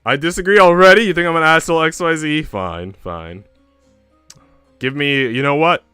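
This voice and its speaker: A young man with an American accent talks casually to his livestream. His voice is clear and resonant, on the low side, and his delivery is slangy and informal with some swearing. The sound is close and dry, with music playing behind him. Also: normal speed, sharp tone, no room sound. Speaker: livestreamer and host. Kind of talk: casual livestream chat with viewers. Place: indoor room at home.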